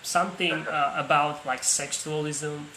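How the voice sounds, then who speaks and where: A man in a casual online chat, talking in halting, repeated phrases. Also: fairly high pitch, little pitch movement. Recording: echoey room, faint noise.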